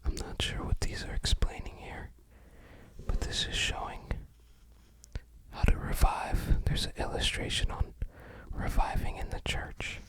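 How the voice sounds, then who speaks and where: An ASMR creator whispering unhurried, musing, slightly hesitant commentary while showing items to the viewer. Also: rough recording.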